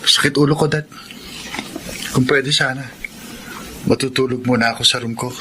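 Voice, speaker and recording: A man's voice: mid-range voice, steady tone, moderate pace, very noisy, close to an everyday voice. A Filipino man playing a troubled young son, protective of his mother, in a radio drama. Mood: hurt, weary.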